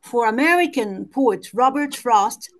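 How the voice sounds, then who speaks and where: A woman's voice: fairly high pitch, expressive. Woman reading her paper. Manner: careful, measured reading with occasional hesitant restarts. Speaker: conference presenter. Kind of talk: reading a prepared academic paper. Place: home or office on a video call. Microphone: video call.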